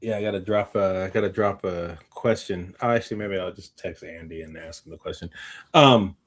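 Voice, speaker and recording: Low voiced guy podcast co-host, rambles, stops and starts, thinking out loud. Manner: rambling, halting, thinking aloud. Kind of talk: casual unscripted chat.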